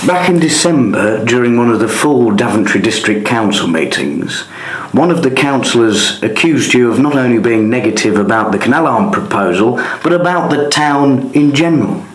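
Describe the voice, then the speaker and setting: A man working as a radio interviewer, putting prepared, formal questions in measured phrases. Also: medium pitch, expressive, roomy sound, low-quality recording.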